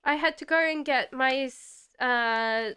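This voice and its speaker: Slow, smooth Australian-British woman. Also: high voice, sharp tone. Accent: Australian mixed with British. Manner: chatty, reads viewer comments aloud, laughs often. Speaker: tarot reader. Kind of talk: live-stream tarot reading. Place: home in the UK.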